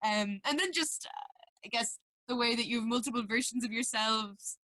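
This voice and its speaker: A young woman from Mayo with an Irish accent, a musician talking casually about her work with animated, self-deprecating storytelling. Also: fairly high pitch, normal speed, slight background noise. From Mayo, Ireland. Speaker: musician guest. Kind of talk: casual interview chat.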